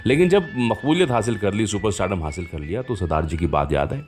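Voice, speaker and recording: Low-voiced Indian male radio host over music. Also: lively intonation, fast talker, darkish tone. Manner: chatty, anecdotal, addresses listeners directly. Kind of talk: conversational storytelling presentation of film music. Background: background music.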